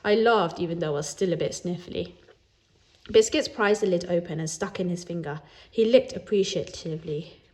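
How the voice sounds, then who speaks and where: A woman reading a children's book aloud in an animated way, as a storyteller who acts out the dialogue. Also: on the low side, moderate pace.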